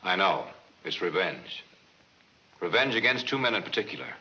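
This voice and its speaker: An actor playing a hard-nosed, cool-headed renegade police detective, terse and firm, in 1940s film serial style on an old soundtrack. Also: male voice, sits low, big pitch swings, normal speed, bright, forceful tone, heavily acted, rough recording. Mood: coolly persuasive.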